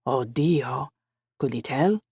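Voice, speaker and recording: Gentle Italian young man, creaky narrator's character voice. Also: mid-range voice, slow pace, dry recording, studio quality, heavily acted. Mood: concerned. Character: warm, attentive young Italian man, caring confidant.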